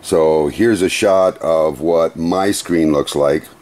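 Middle-aged male demonstrator, workshop, low pitch, slow methodical plain delivery. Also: a little mellow. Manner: unhurried, methodical, plain-spoken with small restarts. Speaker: CNC machine demonstrator. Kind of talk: hands-on equipment demonstration. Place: workshop beside a CNC router.

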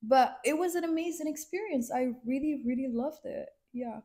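A woman's voice: high voice, normal speed, dark tone. A young woman who is sick, co-hosting a casual film review chat, speaking in a thoughtful, hesitant way. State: sick, voice affected by illness.

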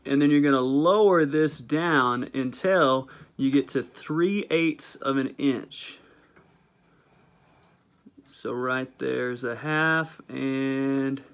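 A man talks through each cut in his home workshop, recorded on a camera mic close to the table saw. He speaks plainly at a middle pitch, repeats the numbers and checks in with "okay?" after each step. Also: takes it slowly, a bit bright. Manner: plain, repeats measurements, ends steps with "okay?". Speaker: beekeeping video host. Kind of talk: step-by-step woodworking instruction.

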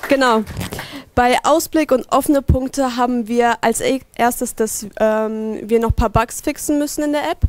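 A woman's voice: fairly high pitch, measured pace, a little hiss or hum. A teenage girl presenting her team's next steps on a handheld mic, with some fillers. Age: teens. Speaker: student presenter. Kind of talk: reading presentation slides.

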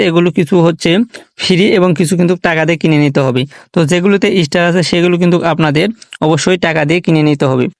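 Quick, even-toned man presenting a tutorial. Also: a little high, no echo, clean studio sound. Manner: instructional, addresses viewers as friends. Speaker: tech tutorial host. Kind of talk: step-by-step app tutorial narration.

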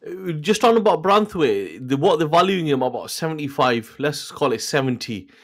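A man's voice: a little high, expressive, moderate pace. A middle-aged man hosting a casual football chat over a video call, eager and full of fillers and restarts. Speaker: podcast host. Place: home room, indoors. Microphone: podcast mic on video call.

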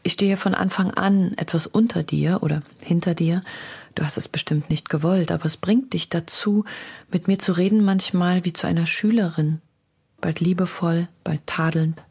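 Woman poet, intimate letter reading, radio feature, low, breathy. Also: steady tone, moderate pace, warm tone, full character voice. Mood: intimate, reflective.

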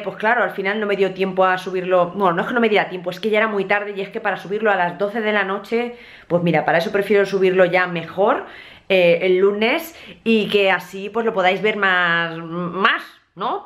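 A young woman chatting casually to her viewers in a home vlog, filmed on a handheld camera at arm's length in a small apartment. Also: medium pitch. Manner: chatty, expressive, chatty asides to viewers. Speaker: vlogger. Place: small apartment living room, indoors.

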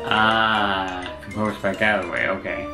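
A man in his twenties giving casual, halting reaction commentary, thinking aloud as he watches a video. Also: fairly low pitch, flat delivery. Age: 20s. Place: indoor room at home. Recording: roomy, music from video behind. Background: music from the comic dub being watched.

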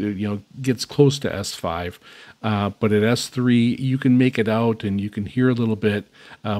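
A man giving an unscripted hands-on demonstration as host, speaking haltingly with very frequent uhs. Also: lowish voice, darkish tone. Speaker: host demonstrating shortwave antennas. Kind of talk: unscripted product demonstration commentary. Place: indoors at a radio desk.

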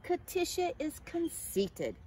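Woman as a kind, high-voiced boy caterpillar. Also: huge pitch swings, measured pace, slight background noise, full character voice. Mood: reassuring. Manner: encouraging, comforting. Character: friendly, kind young boy caterpillar, loyal and encouraging.

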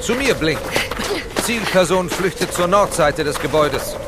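An actor playing a brusque SWAT police chief in a children's audio drama, barking clipped military commands. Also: male voice, a little high, expressive, measured pace, heavy background noise. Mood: stern. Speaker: SWAT team leader, the Corporal.

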